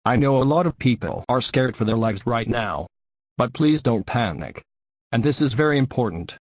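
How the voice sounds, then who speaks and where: A man playing a TV news anchor in a scripted crisis newscast, speaking plainly and earnestly in measured sentences. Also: mid-range voice, animated, dry recording, natural voice. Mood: concerned. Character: male TV news anchor addressing a city in crisis.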